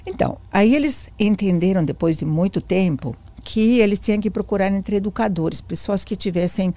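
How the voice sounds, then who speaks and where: An older woman with a foreign accent tells her story to an interviewer in an animated way. Her pitch sits in the middle of the usual range, and she repeats phrases for emphasis and acts out the voices of the people she quotes. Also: moderate pace. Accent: foreign accent in Portuguese. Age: 60s or older. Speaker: interview guest, educator.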